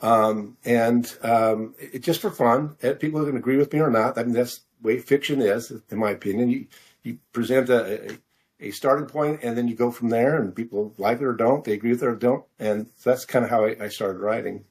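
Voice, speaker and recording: An older American man from Missouri chatting casually as an interview guest, halting and often correcting himself as he rambles. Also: medium pitch, darkish tone. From Missouri, United States. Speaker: guest, fiction author and physician.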